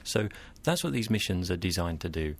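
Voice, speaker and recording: A man as a scientist guest, explaining carefully and in a measured way in an interview. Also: sits low, sing-song, creaky.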